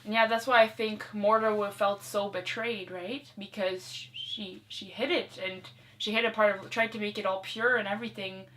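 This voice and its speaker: A woman's voice: medium pitch, steady tone, moderate pace, few pauses, a bit bright, rough recording. A woman in a casual discussion, speaking informally and tentatively, often checking in with her listener. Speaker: co-host or guest discussing a movie. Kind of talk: casual conversational chat.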